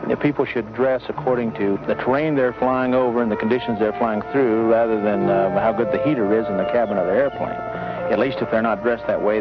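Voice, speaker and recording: A man explaining flight safety as an expert, speaking unscripted in a measured, matter-of-fact way. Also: mid-range voice. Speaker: mountain flying safety expert. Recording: muffled 1970s film sound with music.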